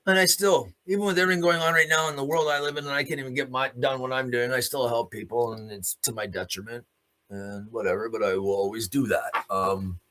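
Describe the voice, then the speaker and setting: A man's voice: mid-range voice, steady tone, a little fast, fairly bright tone. A man hosting a solo commentary show, delivering a blunt, off-the-cuff opinionated monologue with free use of swearing.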